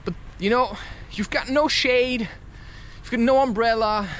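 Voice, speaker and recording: A high-voiced man talks very slowly and haltingly, as if thinking aloud to his listeners. He leans on phrases and repeats them in a confessional ramble. There is some outdoor traffic noise behind him as he walks. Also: a bit bright. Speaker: vlogger recording a spoken-word podcast. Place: outdoors, recorded while walking.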